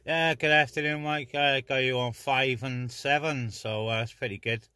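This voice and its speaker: A man's voice: mid-range voice, steady tone, normal speed, heavy background noise. A man chatting casually as an amateur CB radio operator, recorded inside a parked car.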